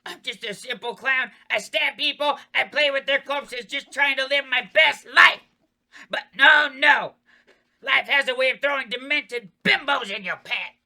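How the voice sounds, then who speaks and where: Stabby the Clown, a bitter murderous clown, sneers and rants in a piercing, sharp-edged voice, shouting in outrage at his ex, as a man reads the part aloud at a table read. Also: very high voice, measured pace, creaky, heavily acted. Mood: sneering. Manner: ranting, shouting in anger. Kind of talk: table read of a comedy horror script.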